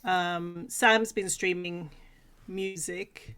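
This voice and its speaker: A woman's voice: fairly low pitch, steady tone, drawn-out words, fairly bright tone, clean tone. Female livestream co-host from Sweden, chatty and giggly, takes her time speaking. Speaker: co-host of a livestream talk show. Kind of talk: casual livestream chat.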